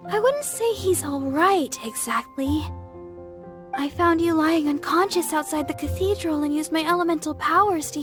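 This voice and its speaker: An actress playing a sweet, earnest young healer girl who is soft, polite and a little flustered. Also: very high-pitched. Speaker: voice actor in a game dub.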